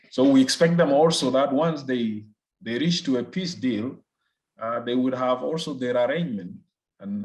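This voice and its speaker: South Sudanese man giving measured political analysis. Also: on the low side, rough recording. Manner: hesitant, deliberate phrasing with repeated words. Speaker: research fellow, interview guest.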